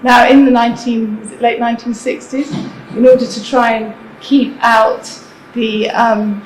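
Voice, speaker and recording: Flat-toned woman panelist in an echoey hall. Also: a little high. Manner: reflective, measured, explanatory. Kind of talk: panel discussion remarks. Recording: echoey hall, faint noise.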